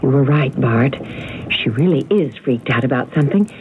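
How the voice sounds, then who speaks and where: A woman's voice: rumbling low, expressive, moderate pace. An actress playing a friendly, inquisitive woman helping with a mystery in a radio drama. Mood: puzzled. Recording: narrow, noisy old radio broadcast recording.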